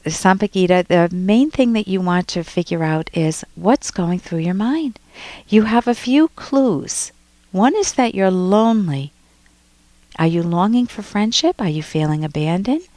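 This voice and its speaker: A middle-aged woman hosting an advice show, talking listeners through personal problems in an unhurried, reassuring way. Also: on the low side, no room sound. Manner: warm, unhurried, reassuring, with frequent restarts. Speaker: radio advice show host. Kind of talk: reading a listener's letter and giving advice.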